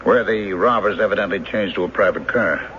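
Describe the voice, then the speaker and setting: An actor in a radio drama playing a skeptical police lieutenant, questioning in a measured, probing manner. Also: male voice, lowish voice, close to an everyday voice. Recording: dull hissy old radio recording.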